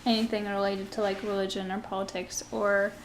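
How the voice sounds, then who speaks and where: A woman's voice: medium pitch, little pitch movement, fairly quick, reverberant, a little hiss or hum, poor audio. A young woman asking interview questions casually and encouraging answers with mm-hmms.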